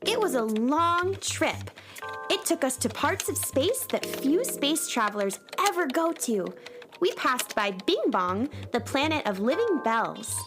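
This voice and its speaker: A woman's voice: high voice, very animated, unhurried, over music. A woman playing a plucky young space cowgirl who narrates and acts in a children's radio serial. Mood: excited storytelling.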